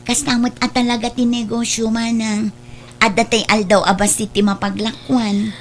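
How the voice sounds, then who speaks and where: A woman's voice: fairly high pitch, flat delivery, moderate pace, lightly acted. An Ilocano-accented woman playing a patient, ambitious younger sister who trades at a market, in an audio drama. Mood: hopeful. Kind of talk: Ilocano radio drama dialogue.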